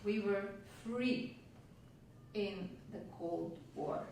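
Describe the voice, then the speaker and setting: A woman from Yugoslavia, a native Hungarian speaker, performing a spoken-word monologue on a venue stage in a measured, reflective way with wry asides. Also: mid-range voice, darkish tone, a little hiss or hum. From Yugoslavia (Vojvodina). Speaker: stage storyteller. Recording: roomy, distant live hall sound.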